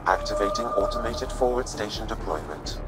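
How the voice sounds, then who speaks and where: An actor playing a calm, precise ship AI that makes flat announcements. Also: male voice, lowish voice, fairly quick, over loud music, natural voice.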